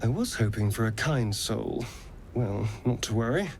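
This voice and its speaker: A man's voice: medium pitch, animated, normal speed, darkish tone, slight background noise, lightly acted. An actor voicing a sly, haughty male vampire rogue in a video game, with an affected, theatrical drawl. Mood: coaxing. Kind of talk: video game cutscene dialogue.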